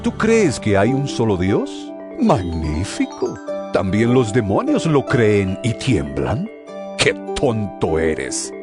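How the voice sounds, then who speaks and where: A man's voice: lowish voice, huge pitch swings, takes it slowly, exaggerated voice. A man playing an earnest apostle in a dramatized scripture reading, exhorting his brethren with emphatic, rhetorical, admonishing oratory. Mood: challenging, scolding. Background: music.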